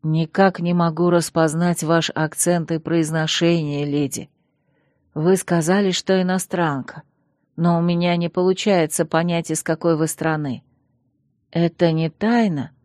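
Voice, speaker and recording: A woman voicing a young female werewolf bodyguard, soft, reserved and polite. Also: lowish voice, steady tone, unhurried, no room sound, clean studio sound, close to an everyday voice.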